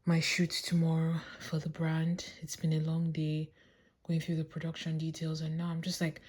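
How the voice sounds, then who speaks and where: A woman's voice: low-pitched, completely flat delivery, normal speed, darkish tone. A young woman talking candidly and self-assuredly in a casual, reflective, rambling personal video diary. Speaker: vlogger and visual artist.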